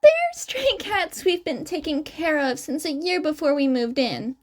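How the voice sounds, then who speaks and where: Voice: sits high, expressive, a little slow, pitched above the performer's own voice. A woman voicing a sheepish, eager boy who explains himself, in a story reading. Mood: sheepish, explaining.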